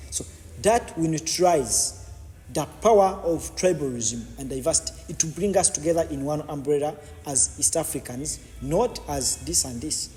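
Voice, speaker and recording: A man's voice: on the high side, normal speed, warm tone, in a large hall. A man, a podcast guest explaining his views at length, stumbling and repeating words as he goes. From Uganda. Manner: repetitive, many false starts and restarts. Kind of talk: explanatory interview answers.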